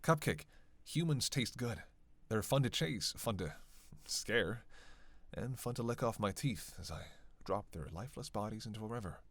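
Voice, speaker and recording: A man's voice: fairly low pitch, expressive, fairly bright tone, dry recording. A man playing a witty, bloodthirsty vampire in a teasing roleplay monologue, sardonic and conversational. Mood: cold, taunting. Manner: sardonic, playful, conversational with sighs and pauses. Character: teasing, witty, bloodthirsty male vampire, centuries-worn cynic. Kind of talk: flirtatious dark roleplay monologue to one listener.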